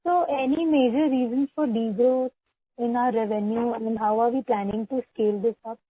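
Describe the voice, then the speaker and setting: A woman's voice: a little high, steady tone, moderate pace, husky, no room sound. A woman with an Indian accent politely putting questions to company executives as an analyst on a business call. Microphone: conference call line. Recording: dull, lo-fi conference call audio.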